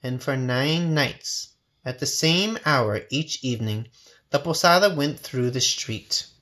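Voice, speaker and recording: A man narrating a children's storybook with expressive, measured phrasing. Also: mid-range voice. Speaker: storybook reader.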